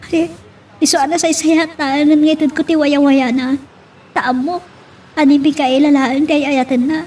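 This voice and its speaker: A woman's voice: sits high, dark tone, distant mic, a little hiss or hum, natural voice. A woman with an Ilocano accent acting a hurt, weary but resolute young mother in a love drama.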